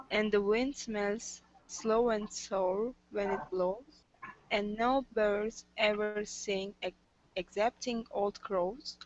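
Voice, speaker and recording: A woman's voice: mid-range voice, lo-fi sound. A woman student with another language, reading aloud carefully in an online class. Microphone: video call.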